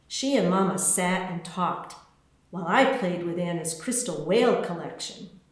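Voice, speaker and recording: A woman author reading her children's picture book aloud in an expressive, deliberate storytelling way, with spaced phrases. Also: on the low side. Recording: very echoey room.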